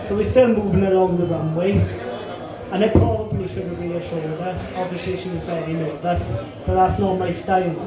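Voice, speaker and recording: A young man doing a stand-up set on a comedy club stage, with crude, deadpan punchlines and lots of swearing. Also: high voice, normal speed, heavy reverb, crowd noise, rough recording.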